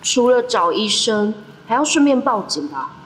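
A woman's voice: fairly high pitch, big pitch swings, moderate pace, a little hiss or hum, low-quality recording. A woman voicing an earnest, determined young boy who worries for his older brother, in amateur audio drama style. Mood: frustrated musing.

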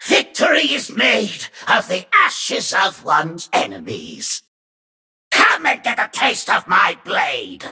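Casting: vain, treacherous robot warrior in a video game; piercing raspy screech, wildly swooping grandiose delivery. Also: male voice, high-pitched, huge pitch swings, takes it slowly, bright, forceful tone, reverberant, raised voice, exaggerated voice. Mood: haughty, menacing. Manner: pompous, grandiose, declamatory. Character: treacherous, power-hungry male robot warrior, vain schemer. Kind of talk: theatrical video game character voice lines.

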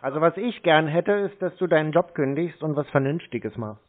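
A man's voice: fairly high pitch, fairly quick. A blunt, mocking man in a confrontational phone call. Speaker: scam-baiting prank caller. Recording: tinny narrowband phone line.

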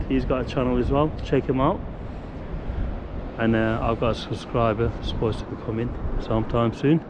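A middle-aged man from Wales giving chatty, halting commentary outdoors by the sea, with many fillers. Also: mid-range voice, lo-fi sound. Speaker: fishing vlogger. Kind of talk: casual outdoor vlog commentary. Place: open beach by the sea at sunset, windy. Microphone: action camera on a tripod nearby. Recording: wind noise on an outdoor camera mic. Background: wind.

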